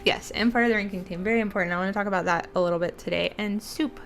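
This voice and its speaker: A woman's voice: mid-range voice, rapid-fire, fairly bright tone, faint music behind. A young woman from the United States hosting a casual streamed interview, chatty and giggly with lots of fillers. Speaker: podcast host.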